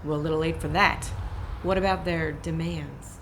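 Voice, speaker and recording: A woman playing a gruff, sarcastic police lieutenant in an audio drama, commanding and curt. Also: low voice, fairly even intonation, normal speed, background noise, lightly acted. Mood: weary sarcasm.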